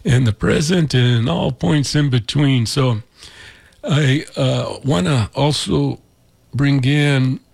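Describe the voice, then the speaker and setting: A man hosting a community radio music show, his voice raspy from allergies, talking in an easygoing, rambling way. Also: medium pitch, slow, a little mellow, no room sound. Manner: easygoing, rambling, chuckles often. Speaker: radio DJ host. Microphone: studio mic.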